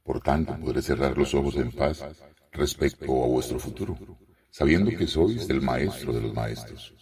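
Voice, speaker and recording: An actor playing an aged, wise rabbi in a radio drama, speaking slowly and solemnly. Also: male voice, rumbling low, darkish tone, breathy edge, recorded from a distance, full character voice. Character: aged wise male rabbi, calm and humble.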